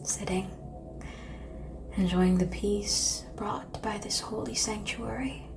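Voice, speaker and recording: A woman playing a seductive, world-weary vampire in an ASMR roleplay, calm and teasing, with a breathy whisper and languid, formal phrasing. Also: medium pitch, slow, noisy background. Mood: wry, musing.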